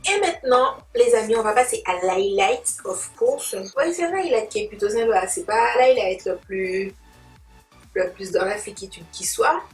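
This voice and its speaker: A woman's voice: medium pitch, lively intonation, moderate pace. Chatty woman vlogger in a roomy space. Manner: chatty, playful slang, addresses viewers as friends. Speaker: beauty vlogger demonstrating makeup. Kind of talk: casual makeup tutorial chat. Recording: roomy, distant mic, music behind.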